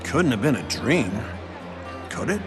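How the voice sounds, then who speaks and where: An actor playing a blunt, bewildered crew member in a game, talking casually. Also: male voice, mid-range voice, very animated, a little slow, music in the background. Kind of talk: English game dub cutscene.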